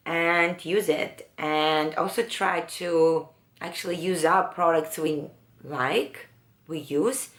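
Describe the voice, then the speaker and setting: A woman with a low, raspy voice chatting slowly through a makeup tutorial, pausing often. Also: fairly bright tone, reverberant. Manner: unhurried, musing, with many pauses and fillers. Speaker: beauty vlogger. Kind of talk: casual makeup tutorial chat.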